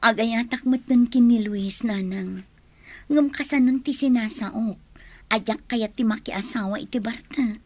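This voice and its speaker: A woman's voice: fairly high pitch, measured pace, darkish tone, dry recording. A young woman with a Filipino accent playing a hesitant village woman being courted in an Ilocano radio comedy drama.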